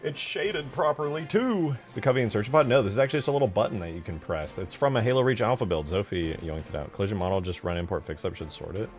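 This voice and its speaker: Low-voiced casual male streamer over music. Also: very fast, hardly any pauses, a little mellow. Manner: laid-back, slangy, profane. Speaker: streamer building video game mods. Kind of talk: casual livestream chat while working.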